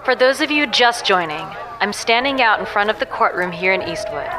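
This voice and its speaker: A woman playing a formal news reporter giving a deliberate live report in an audio drama. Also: medium pitch, animated, natural voice. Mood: serious. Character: female TV news reporter live outside courthouse.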